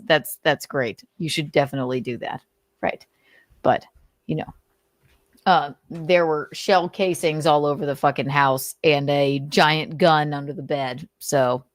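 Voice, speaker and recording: A woman's voice: deep voice, no echo, clean studio sound. A woman livestream host doing a dramatic reading, breaking off into sweary, sarcastic casual commentary. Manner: sweary, sardonic asides.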